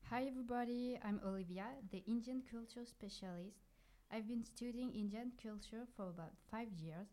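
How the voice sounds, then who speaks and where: A woman's voice: on the high side, moderate pace, mellow. A woman guest on a podcast, speaking from prepared lines with the odd filler. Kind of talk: scripted podcast answers.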